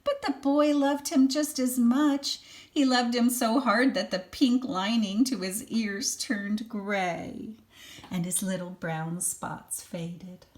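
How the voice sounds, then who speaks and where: A woman's voice: fairly high pitch, fairly even intonation, slow pace. An older woman, a grandmother, reading a storybook expressively to children with chatty asides. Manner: child-directed, dramatic emphasis, gasps and hushed asides.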